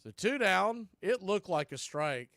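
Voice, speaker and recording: A man hosting a baseball broadcast, giving play-by-play commentary in clipped phrases with deliberate pauses. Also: high-pitched, sing-song, vocal fry, a bit bright, no room sound, clean studio sound. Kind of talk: live play-by-play commentary mixed with history recap.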